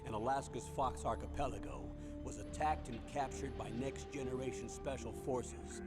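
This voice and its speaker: A man plays a stern older commander in a video game, laying out a mission. He speaks gravely and deliberately, with an authoritative military manner. Loud music runs under every word. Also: medium pitch, fairly even intonation, natural voice. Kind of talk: video game voice acting, scripted briefing. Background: loud game soundtrack music.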